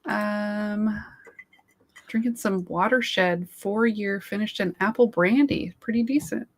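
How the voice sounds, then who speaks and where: A woman's voice: mid-range voice, slow pace. A woman co-hosting a casual livestream, chatty and giggly, thanking viewers as she goes, her voice starting to give out. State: losing her voice. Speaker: livestream co-host.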